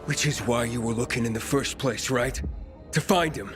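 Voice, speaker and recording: A man plays a young game hero who is worn down and short on patience. His mid-pitched voice is blunt, sardonic and exasperated, with music underneath. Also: moderate pace, lightly acted. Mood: frustrated, angry. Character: impatient, weary young male survivor and hero. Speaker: voice actor in a video game. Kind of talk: cinematic game dialogue.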